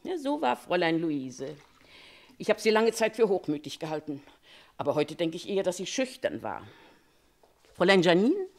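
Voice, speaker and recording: A Paris concierge in a radio crime drama, a woman who loves to gossip. Her voice sits a little low but swings widely up and down as she confides her firm opinions. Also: sing-song, normal speed, pauses often. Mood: chatty, confiding. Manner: chatty, confiding, opinionated storytelling. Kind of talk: German radio crime play acting.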